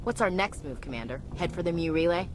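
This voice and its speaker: Woman as a blunt, low-pitched soldier. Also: expressive, fast talker, a little hiss or hum, natural voice. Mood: challenging. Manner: blunt, challenging. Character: distrustful, blunt female soldier, loyal but prickly. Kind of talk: video game acting.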